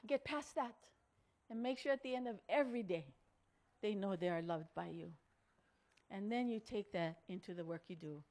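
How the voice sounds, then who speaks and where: A middle-aged or older woman, giving a motivational storytelling speech in an earnest, deliberate way with dramatic pauses. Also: mid-range voice, darkish tone. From Hawaii. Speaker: speaker at a women's conference.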